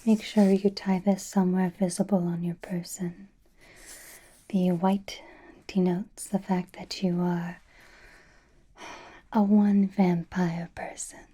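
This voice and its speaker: A woman playing a centuries-old aristocratic vampire lady in a whispered ASMR roleplay, doting, possessive and softly teasing, with refined manners and a breathy voice. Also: fairly low pitch, level tone, slow pace, long pauses.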